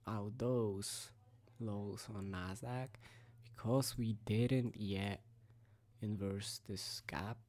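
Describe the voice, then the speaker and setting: A teenage young man with a rough, sick-sounding voice gives a casual, halting trading recap, thinking aloud and sometimes sinking to a near-whisper. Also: on the low side, mellow. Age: teenager, about 17. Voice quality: rough, breathy, creaky. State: rough voice from recent sickness. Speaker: day trader recapping own trades. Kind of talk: casual screen-recap commentary.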